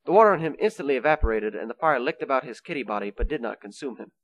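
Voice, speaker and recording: A man's voice: mid-range voice, lively intonation, rapid-fire, no room sound, poor audio. A man reading scripted, storybook-style narration for an amateur radio play.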